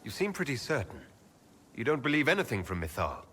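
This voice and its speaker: An actor voicing a steady, supportive heroic leader, a man, in a video game. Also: medium pitch, fairly quick, slight background noise. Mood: agreeable. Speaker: player hero. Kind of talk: video game character acting.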